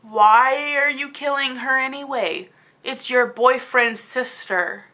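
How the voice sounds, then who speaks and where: A woman's voice: high voice, slow pace, pushed and bright. A woman giving a casual, rambling video review in an exasperated, emphatic and incredulous manner. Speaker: book review vlogger.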